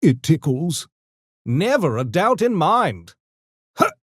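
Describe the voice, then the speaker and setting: A man's voice: a little high, big pitch swings, takes it slowly, slightly rough, no room sound, clean studio sound, full character voice. A man voicing an urbane, mischievous gremlin for a video game, with posh, pompous, theatrical and mock-polite diction. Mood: smug, urbane. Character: urbane genteel mischievous gremlin, a genetically engineered intellectual creature. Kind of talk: video game character voice lines.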